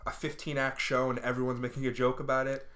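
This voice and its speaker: A man, a comedian co-hosting a casual chat, blunt and teasing with dark jokes. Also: medium pitch, level tone, fast. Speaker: comedian and podcast co-host.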